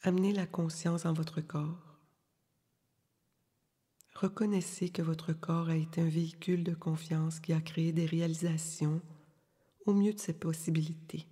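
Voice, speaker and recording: A woman leading a guided yoga nidra meditation, giving calm, soothing, unhurried instructions with long pauses in a soft, breathy voice. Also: low-pitched. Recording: long reverberant hall-like echo.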